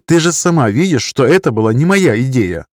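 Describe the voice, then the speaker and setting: A man's voice: medium pitch, animated, fairly quick, no echo, voice pushed up, natural voice. Guy reading as a guarded woman, dry wry short probing lines, audiobook dialogue, close dry sound. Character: wry, guarded woman narrator confronting her friend.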